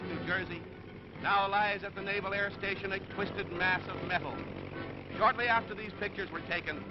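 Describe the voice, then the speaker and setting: A man narrating a 1930s newsreel in a scripted, dramatic and solemn delivery. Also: high voice. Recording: muffled vintage 1930s newsreel soundtrack. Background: music.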